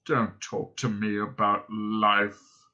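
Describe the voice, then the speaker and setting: A man voicing a gloomy, bored, self-pitying robot in a droning, muttering, sarcastic deadpan, reading from a storybook. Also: medium pitch, unhurried, lo-fi sound. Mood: despairing self-pity.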